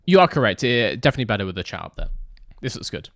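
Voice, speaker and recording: Lively joking gaming streamer man. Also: fairly low pitch, rapid-fire, no echo, clean studio sound. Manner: chatty, joking, reacting to chat. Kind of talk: casual gaming livestream chat.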